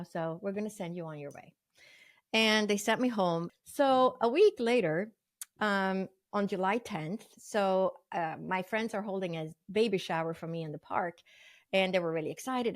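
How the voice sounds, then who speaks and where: An older woman from Sweden, a guest telling her story in a vivid, earnest way with many fillers. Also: mid-range voice. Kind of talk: storytelling interview talk.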